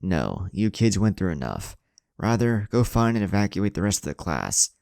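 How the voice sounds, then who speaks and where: Heroic big man for an anime-style story, voiced by a man narrating, deep, firm, protective and steady. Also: moderate pace, vocal fry, no echo, studio quality, exaggerated voice. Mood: firm resolve. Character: muscular heroic man, protective, calm authority.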